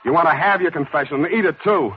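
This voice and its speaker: A dull, lo-fi old radio recording of a hard-boiled American private eye. He talks fast and brusquely, with a cynical, wisecracking edge in classic 1940s radio drama fashion. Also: fairly high pitch, husky. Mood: bitter, scornful. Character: wry, hard-boiled male private detective.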